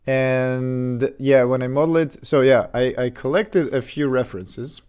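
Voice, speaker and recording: Slow-talking man with a lingering cough. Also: mid-range voice, clear, resonant tone. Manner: relaxed, rambling, many fillers and restarts. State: recovering from illness, slight cough. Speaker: game developer streaming his work. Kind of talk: casual live-stream chat.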